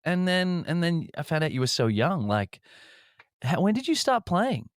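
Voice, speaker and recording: A man's voice: on the high side, sing-song, moderate pace, no room sound. Enthusiastic male interviewer, dry sound. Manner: rambling, enthusiastic questions. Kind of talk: casual interview.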